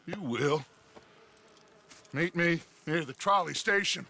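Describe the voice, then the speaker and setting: Gravelly, grandiose outlaw gang leader. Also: male voice, fairly high pitch, slow pace, pushed and bright, full character voice. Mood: persuasive, grandiose. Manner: theatrical, commanding, oratorical. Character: grandiose, persuasive male outlaw gang leader, middle-aged. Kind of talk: video game cutscene acting.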